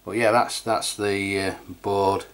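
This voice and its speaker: A man's voice: lowish voice, flat delivery, takes it slowly. A middle-aged man giving a casual, matter-of-fact hands-on product review. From England. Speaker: radio review channel host.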